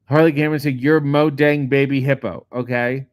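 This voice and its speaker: A middle-aged man, a comedian host, reading viewer comments aloud and answering them in a casual, profane, deadpan way. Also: mid-range voice, normal speed, smooth, no echo. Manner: profane, sardonic, deadpan asides. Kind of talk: casual chat answering live chat questions.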